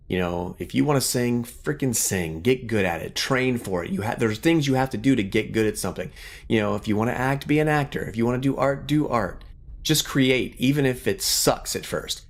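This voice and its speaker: A middle-aged man giving casual, reflective gaming commentary in an earnest, rambling way. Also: medium pitch. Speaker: gaming YouTuber.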